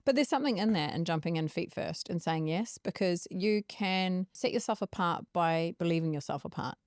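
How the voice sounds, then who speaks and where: A woman with a New Zealand accent, hosting a casual interview, lively and quick to laugh. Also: lowish voice, dry recording, clean studio sound. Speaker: podcast host.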